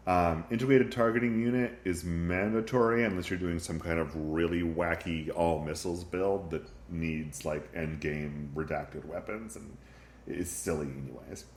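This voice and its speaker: Deep-voiced man, sniffly gameplay walkthrough. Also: reverberant, slight background noise. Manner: relaxed, frequent ums, thinking aloud. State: sniffly from recovering flu. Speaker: game tutorial narrator. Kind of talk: casual gameplay commentary.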